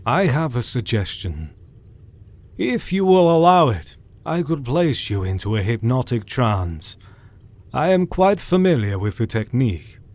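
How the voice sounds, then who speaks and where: An actor playing a learned doctor who hunts vampires, speaking in a measured, earnest way in an audio drama. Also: male voice, mid-range voice, sing-song, a little mellow. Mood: confident. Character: learned older male doctor, vampire hunter.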